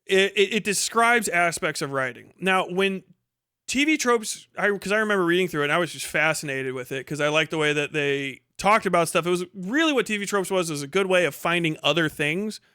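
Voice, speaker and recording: A man's voice: sits high, lively intonation, a little fast, pushed and bright, clear, resonant tone, no echo, clean studio sound. A man hosting a livestream, giving rambling, emphatic and sweary opinionated commentary in casual speech. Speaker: livestream host.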